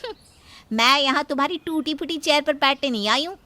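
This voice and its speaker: A woman voicing a haughty, quick-tempered and scornful young woman in a cartoon moral story. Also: sits high, dry recording. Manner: sharp, scornful, demanding.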